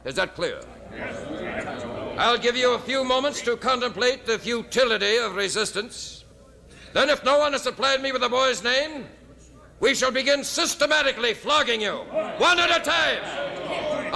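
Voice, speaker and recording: An actor playing a ruthless, commanding Roman officer in an old-time radio drama, declamatory and threatening. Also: male voice, very high voice, creaky, exaggerated voice. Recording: old radio recording with crowd murmur.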